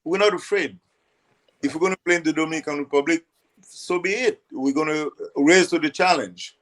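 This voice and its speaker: Older Haitian man, halting explainer. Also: fairly high pitch, fairly bright tone, low-quality recording. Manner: halting, repeats words as he searches for them. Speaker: guest. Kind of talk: conversational explanation.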